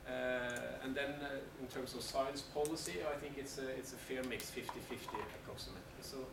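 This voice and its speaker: Male seminar organizer, halting delivery, distant room mic with echo. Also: medium pitch, fairly even intonation, gravelly. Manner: hesitant, many fillers and restarts. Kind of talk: announcements at a seminar. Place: meeting room. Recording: echoey room from far off, noisy.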